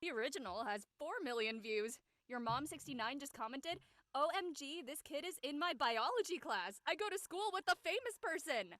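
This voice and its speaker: A woman's voice: high-pitched, lively intonation, moderate pace, a bit bright, dry recording. A woman voicing a blunt, breezy, unapologetic teenage girl in a comedy drama. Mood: teasing. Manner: breezy, matter-of-fact, teasing. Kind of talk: comedic scripted audio drama dialogue.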